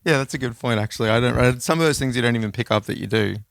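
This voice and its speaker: A man's voice: mid-range voice, racing, gravelly, no room sound. A man co-hosting a podcast, chatting casually and rambling with lots of fillers. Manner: rambling, filler-heavy, relaxed. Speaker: podcast co-host.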